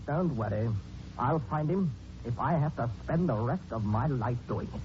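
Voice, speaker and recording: A man's voice: medium pitch, lively intonation, moderate pace, lightly acted. An actor playing a determined, indignant Victorian newspaperman in a 1940s radio drama. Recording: noisy muffled 1940s radio recording.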